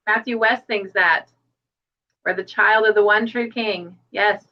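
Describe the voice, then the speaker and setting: A woman's voice: mid-range voice, steady tone, a little slow, dull audio. A woman chatting casually as a guest on a live stream, self-deprecating and restarting her phrases as she goes. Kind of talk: casual chat.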